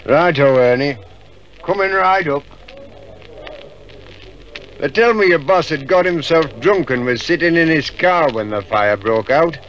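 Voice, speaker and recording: An actor playing a chatty, folksy Yorkshire village publican in a 1950s radio drama. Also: male voice, on the high side, animated, unhurried, pauses often, a bit bright. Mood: gossipy. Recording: dull, band-limited 1950s radio recording.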